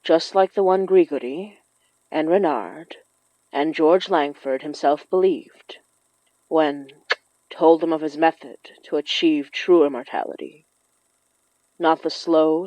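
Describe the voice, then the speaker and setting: Slow-paced woman narrating horror fiction. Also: sits low, darkish tone, dry recording, lo-fi sound. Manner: measured, deliberate, with dramatic pauses. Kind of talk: scripted dramatic story narration.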